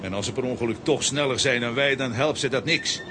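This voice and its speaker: A man's voice: medium pitch, brisk, background noise, lightly acted. A man playing a cartoon villain boss in a children's audio drama, with a theatrical manner. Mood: smug. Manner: smug, chuckling, exclaiming. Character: gloating male cartoon villain, boss of saboteurs.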